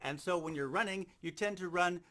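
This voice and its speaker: A man's voice: sits high, a little fast, background noise. A man giving an informal instructional demonstration, explaining things step by step. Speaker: sound effects instructor.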